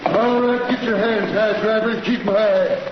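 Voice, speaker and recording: An actor playing a gruff, menacing stagecoach robber in an old-time radio Western, with folksy cowboy speech. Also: male voice, steady tone, moderate pace, roomy sound, natural voice. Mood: threatening. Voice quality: gravelly voice, described as very deep. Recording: noisy, muffled, boxy old radio recording.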